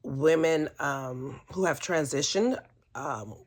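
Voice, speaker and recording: A woman's voice: low voice, slow pace. A woman telling a personal story in a casual, candid and chatty way, often amused and hesitant as she picks her words. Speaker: vlogger telling personal stories.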